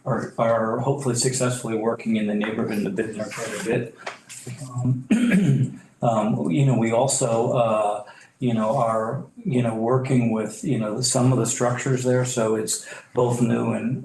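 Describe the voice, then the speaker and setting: A developer presenting to a public board meeting, halting with many fillers and restarts, clearing his throat as he loses his voice. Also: medium pitch, steady tone, a little mellow. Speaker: property developer. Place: meeting room. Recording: echoey room, faint noise.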